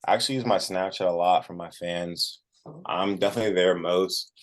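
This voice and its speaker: Man, low deep voice, musician guest, casual interview chat, informal phrasing, filler "like". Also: fairly even intonation, fairly quick.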